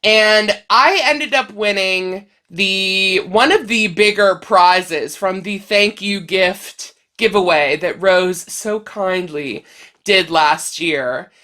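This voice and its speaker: Bright, unhurried woman, slightly stuffy. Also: medium pitch. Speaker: crochet vlog host. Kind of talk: casual chatty talk to camera.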